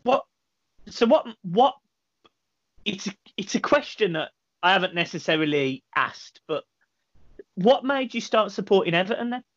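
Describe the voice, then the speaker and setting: A man's voice: high voice, animated, moderate pace, long pauses, fairly bright tone, no echo. A man in his thirties hosting a fan channel, chatting casually over a video call from a small room at home and often repeating words as he starts a sentence. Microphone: headset on a video call. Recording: dry, dull lo-fi call audio.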